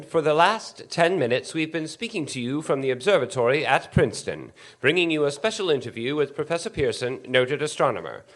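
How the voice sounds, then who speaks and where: A man's voice: fairly high pitch, a bit bright. An actor playing an earnest, eager male radio news commentator in a 1930s radio drama, with a formal, polite announcer delivery. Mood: formal, composed. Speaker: stage actor in a radio play.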